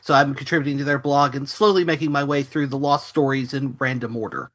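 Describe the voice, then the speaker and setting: A man's voice: medium pitch, fairly even intonation, fast, fairly bright tone. A man speaking casually as a guest reviewer on a podcast. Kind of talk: casual chat.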